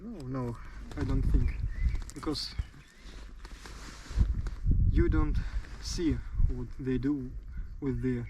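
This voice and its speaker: A man's voice: medium pitch, slow, recorded from a distance, noisy background. A man in his twenties with a Russian accent, answering interview questions in hesitant English and searching for his words. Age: 20s. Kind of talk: casual interview outdoors.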